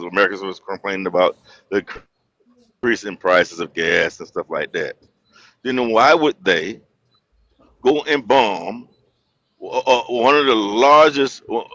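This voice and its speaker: A man calling in to a live chat, talking calmly at first and then growing loud and indignant as he argues. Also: lowish voice, normal speed, no room sound. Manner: builds from calm to loud indignant rants. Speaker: caller. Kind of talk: heated casual debate. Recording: muffled dull audio.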